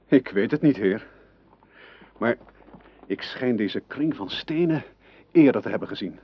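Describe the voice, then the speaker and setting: An actor playing a loyal, deferential knight in a radio drama, with a husky voice. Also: male voice, medium pitch, animated, a little slow. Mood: puzzled. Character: loyal knight, deferential companion of his king. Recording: dull, dry radio play recording.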